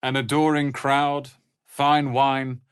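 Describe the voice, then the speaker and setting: A man's voice: medium pitch, flat delivery, slow pace, smooth, no echo, studio quality, close to an everyday voice. A man voicing a haughty, sardonic young wizard's apprentice in a video game, with a pompous, theatrical delivery. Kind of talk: dramatic video game voice acting.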